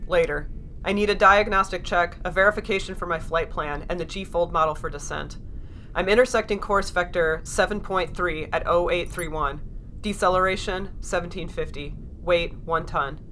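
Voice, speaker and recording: A woman playing a weary, guarded spaceship pilot in an audio drama, speaking in terse, measured, grave lines. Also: lowish voice, fairly bright tone, slight background noise, close to an everyday voice. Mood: businesslike. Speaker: narrator and lead actor in an audio drama. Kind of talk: audio drama acting and first-person narration.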